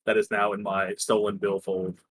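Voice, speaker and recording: A man with a fairly low voice plays in a tabletop roleplaying game. He talks casually, asking about the scene and saying what his character does. The recording is close and dry, with very little room sound. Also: flat delivery, normal speed, slightly rough, no echo.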